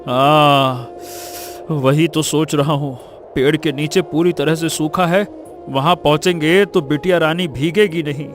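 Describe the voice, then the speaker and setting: Man acting a high-voiced, humble village father. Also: fairly even intonation, a little slow, soft background music, close to an everyday voice. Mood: relieved. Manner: pleading, earnest, repeating himself. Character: poor, desperate, humble village father, protective of family. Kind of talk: Hindi cartoon story dialogue.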